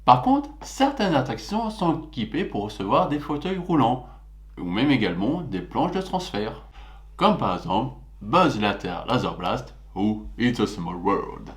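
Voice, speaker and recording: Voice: mid-range voice, big pitch swings, unhurried. A co-host who asks questions and jokes around in casual chat.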